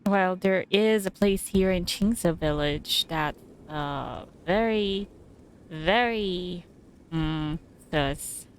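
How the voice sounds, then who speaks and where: A woman gives casual, off-the-cuff game commentary. Her voice sits a little low and moves slowly and hesitantly, with faint noise behind it. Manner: hesitant, musing, trailing repetitions. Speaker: game streamer.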